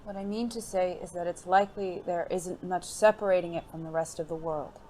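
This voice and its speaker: A performer playing a gentle, refined gentleman companion in an audio drama, speaking formally, earnestly and in a measured way. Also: mid-range voice, fairly even intonation, close to an everyday voice. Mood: gentle, reasoning. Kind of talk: intimate audio drama dialogue. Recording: faint noisy background ambience.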